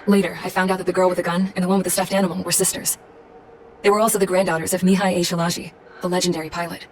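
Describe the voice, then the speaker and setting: Fast, low-voiced, blunt military woman actress. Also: little pitch movement, darkish tone, recorded from a distance, a little hiss or hum, lightly acted. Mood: wry, matter-of-fact. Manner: blunt, casual, no-nonsense. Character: tough, blunt, street-smart military woman. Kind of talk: scripted storytelling narration.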